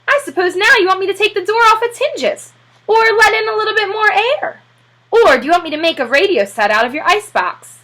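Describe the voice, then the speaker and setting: A woman's voice: very high voice, animated, a little fast, pushed and bright, voice pushed up, heavily acted. A woman voicing an argumentative, skeptical male repairman in a storybook, with folksy grammar and an exasperated, sarcastic manner.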